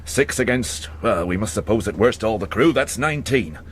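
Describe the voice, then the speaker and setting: An actor playing a level-headed, authoritative British ship's captain in a radio drama. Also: male voice, lowish voice, animated, moderate pace, slight background noise, close to an everyday voice. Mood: measured, grave.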